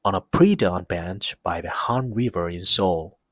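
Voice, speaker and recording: A man's voice: low voice, a little slow, fairly bright tone, no room sound, studio quality. A man delivering scripted, reflective narration as a story's closing commentator.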